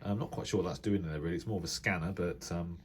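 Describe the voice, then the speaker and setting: A man's voice: sits low, fast talker, background noise. A middle-aged man with a British accent talks casually and without a script as he presents a hobby video, hesitating and thinking aloud as he goes. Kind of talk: casual unscripted commentary while browsing a reference book.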